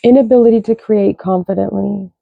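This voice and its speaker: A woman with a low voice, chatting loosely and rambling as she reads cards, swearing and thinking aloud. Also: fairly even intonation, a little fast, gravelly, no room sound, narrow, tinny audio. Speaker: card reader. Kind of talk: casual rambling spiritual chat.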